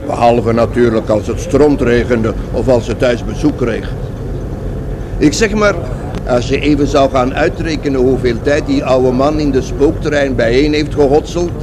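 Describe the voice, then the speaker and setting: A man's voice: mid-range voice, normal speed, natural voice. An actor playing a folksy, eager-to-please bandsman in a radio play, garrulous and familiar in his colloquial talk. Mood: chatty. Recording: noisy vehicle ambience behind voice.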